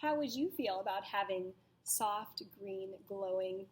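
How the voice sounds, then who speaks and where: A woman teacher reading a picture book aloud to children in a deliberate, dramatic way, pausing to ask them questions. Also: mid-range voice, animated, slight background noise. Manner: deliberate, dramatic storytelling delivery.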